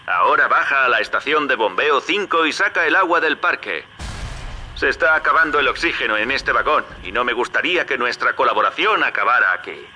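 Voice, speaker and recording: A shrewd game ally. His voice is forceful and very bright, swinging widely in pitch as he gives familiar, brisk orders through a slightly noisy radio. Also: lively intonation. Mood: instructing. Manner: familiar, directive. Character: shrewd, brisk male ally guiding the hero. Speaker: guide over radio. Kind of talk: video game dub acting.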